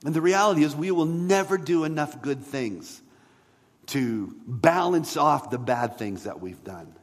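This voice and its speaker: A man preaching a sermon as a pastor, in a conversational way with rhetorical questions and emphatic pauses. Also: on the high side, lively intonation. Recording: long hall reverb.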